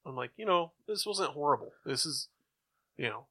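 Bright-voiced male podcast co-host. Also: on the high side, lively intonation, normal speed. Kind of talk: casual chat.

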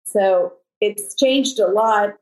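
A woman's voice: mid-range voice, slow pace, darkish tone. A middle-aged woman from El Salvador, a podcast guest telling stories in a chatty, conversational way. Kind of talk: casual conversation. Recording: echoey room.

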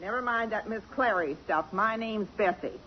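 An actress playing a blunt, defiant, fiercely loyal working-class housemaid in a 1950s radio drama. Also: female voice, medium pitch, normal speed, slight background noise, natural voice. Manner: blunt, defiant, plain-spoken. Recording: muffled 1950s radio broadcast.

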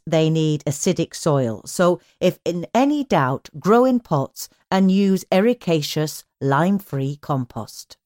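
A British woman reading magazine articles aloud as scripted narration, with measured phrasing and clearly marked headings. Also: low voice, a little mellow, no echo, clean studio sound. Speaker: magazine audio edition reader.

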